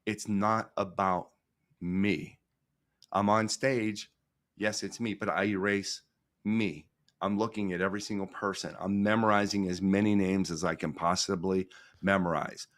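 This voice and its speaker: A middle-aged American man speaking as a podcast guest, telling stories conversationally in an earnest, emphatic way, repeating phrases and pausing for effect. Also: low-pitched, steady tone, fairly bright tone, no room sound, studio quality. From Pittsburgh, United States. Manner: earnest, emphatic, with repetition and dramatic pauses.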